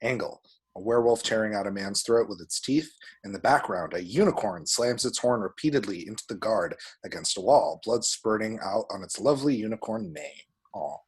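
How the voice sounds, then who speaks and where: A man with a husky voice reads screenplay action descriptions aloud as a narrator. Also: lowish voice, big pitch swings, normal speed. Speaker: table-read action narrator.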